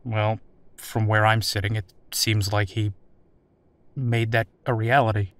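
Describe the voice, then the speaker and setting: A man playing a calm, courteous military captain conducting an interview in an audio drama, conversational and slightly hesitant. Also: on the low side, a little slow, no room sound. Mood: probing, patient.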